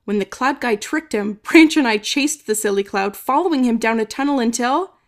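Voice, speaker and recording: A woman reading a children's story aloud expressively, with dramatic emphasis and some drawn-out words. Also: on the high side, animated. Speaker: storybook reader. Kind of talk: expressive children's read-aloud, narrating in first person.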